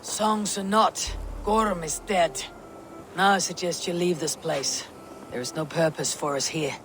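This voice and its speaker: A stoic, proud Viking warrior woman played by an actress for a video game. She talks slowly and deliberately, with weary gravity. Clear outdoor noise and rain sit behind the voice. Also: on the low side, fairly even intonation, lightly acted. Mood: resolute. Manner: solemn, measured, deliberate. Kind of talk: video game cutscene acting.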